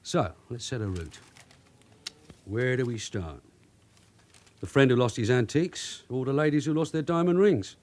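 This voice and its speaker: An actor playing a streetwise, bossy London detective sergeant in a radio drama, with a London accent and brisk, colloquial, teasing talk. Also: male voice, medium pitch, a little mellow, lightly acted. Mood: wry, bossy.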